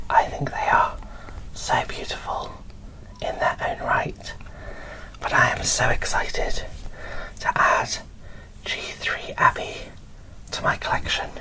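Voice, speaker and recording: A woman whispering in a breathy, barely voiced ASMR style, presenting dolls with gentle, deliberate phrasing into a close microphone. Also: a bass voice, rough recording. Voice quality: breathy whisper with little voicing. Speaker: doll collector presenting an unboxing.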